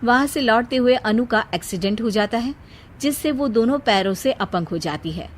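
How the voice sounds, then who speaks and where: A woman's voice: a little high, normal speed, slight background noise. A woman narrating a scripted moral story as a storyteller.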